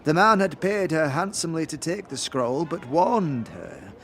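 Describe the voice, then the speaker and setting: A man's voice: a little high, sing-song, measured pace, gravelly, fairly bright tone, heavily acted. A man voicing a cryptic female fortune teller in a fantasy audio drama, portentous and archaic in manner. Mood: mysterious, earnest. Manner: mysterious, portentous, archaic phrasing. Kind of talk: audio drama character acting.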